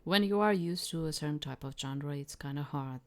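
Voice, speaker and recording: A woman's voice: low-pitched, moderate pace. A woman giving casual, chatty let's-play commentary as a streamer, musing as she goes. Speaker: streamer playing and commenting on a game.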